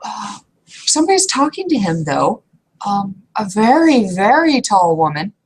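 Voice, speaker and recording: A woman narrating a tabletop roleplaying game as game master in a halting, conversational, improvised way. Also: medium pitch, big pitch swings, poor audio. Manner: halting, conversational, piecing descriptions together. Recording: clear background noise.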